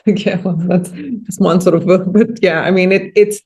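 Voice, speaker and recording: A woman's voice: mid-range voice, measured pace, a little mellow. A woman in her thirties talking casually as an interview guest on a video call, explaining thoughtfully and rephrasing as she goes. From India. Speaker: interview guest, agriturismo and winery owner. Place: indoor room, on a video call.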